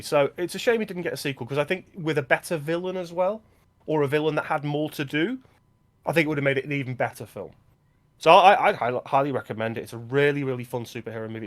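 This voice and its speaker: A man co-hosting a film podcast, talking casually in an easygoing, agreeable way with filler words. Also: medium pitch.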